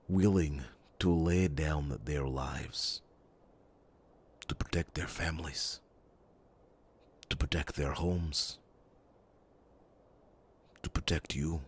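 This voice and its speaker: A man playing a loyal, earnest captain of a royal guard in a fantasy ASMR roleplay, speaking formally and reassuringly in a breathy voice with dramatic pauses. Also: extremely low pitch, steady tone, a little mellow, exaggerated voice. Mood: solemn devotion.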